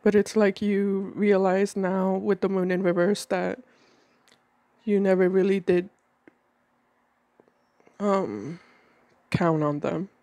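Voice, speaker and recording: A woman with a cold reads tarot cards at a table indoors, her tone mellow and dark. She talks calmly and measuredly, slowing down as she names each card. The sound is dry and close, with little room in it. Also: mid-range voice, dry recording. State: has a cold. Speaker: tarot reader. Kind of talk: tarot reading monologue.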